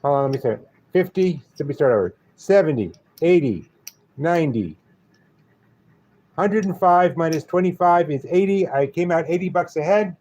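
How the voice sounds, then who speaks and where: A man's voice: on the high side, expressive, normal speed, a little mellow, muffled recording. A man from New York with a Brooklyn accent, chatting casually and joking with viewers on a live stream.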